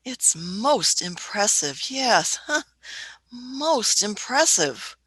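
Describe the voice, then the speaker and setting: A woman's voice: on the high side, sing-song, extremely slow, sharp tone, slightly rough, dry recording, exaggerated voice. A woman playing a fussy, curious old time traveller. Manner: muttering hmms, musing, hesitant restarts. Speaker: amateur radio play actor.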